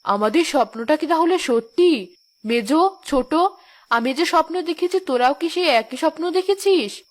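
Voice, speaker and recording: A woman's voice: high voice, lively intonation, normal speed. A woman voicing an impatient, skeptical elder sister from a village in a cartoon. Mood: wondering. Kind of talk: cartoon voice acting.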